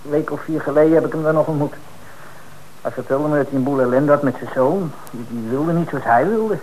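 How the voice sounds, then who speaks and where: A faintly noisy radio-play recording of a rather high male voice from an actor. He is a working-class suspect, defensive and colloquial, insisting that he is innocent. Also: brisk, a little mellow, close to an everyday voice. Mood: guarded. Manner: colloquial, hesitant, then indignant. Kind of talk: Dutch radio drama dialogue.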